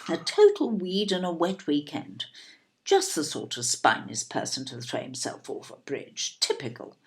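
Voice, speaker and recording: An older, upper-middle-class English wife in a radio play. Her voice is low and creaky, swooping widely as she hands down haughty, plummy judgements. She sounds opinionated and disapproving. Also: wildly swooping pitch, normal speed, full character voice. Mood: scornful, opinionated. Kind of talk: audio play acting.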